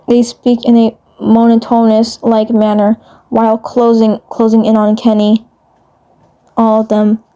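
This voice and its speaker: A woman narrating a dramatic fan-made story in a halting way, often repeating phrases. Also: fairly high pitch, mellow, clean tone.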